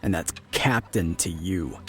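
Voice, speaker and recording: Man playing a slow, wry knight instructor. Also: deep voice, fairly bright tone, soft background music. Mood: warning. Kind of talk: scripted audio drama acting.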